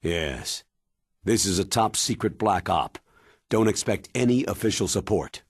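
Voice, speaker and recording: An actor playing a stern military commander briefing an agent in a video game, grave and measured in manner. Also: male voice, on the low side, animated, dry recording, clean studio sound. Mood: instructive. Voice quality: husky, weighty. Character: stern, authoritative older military commander giving mission briefing. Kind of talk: dramatic video game cutscene acting.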